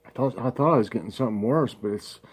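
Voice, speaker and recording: Guy vlogging, kinda congested, folksy and chatty, reads slow w pauses. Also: medium pitch, husky. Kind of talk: casual home vlog with prayer and devotional reading.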